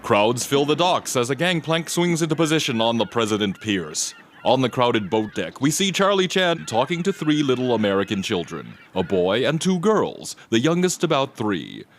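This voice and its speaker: A man's voice: mid-range voice, sing-song, moderate pace, hardly any pauses, slight background noise. A man reading scripted scene descriptions as the narrator of an audio drama.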